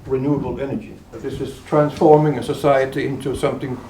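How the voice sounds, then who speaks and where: A man's voice: mid-range voice, steady tone, fast, mellow, a little hiss or hum. A man acting as local host, giving a formal welcome speech to visitors. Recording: distant mic in an echoey room.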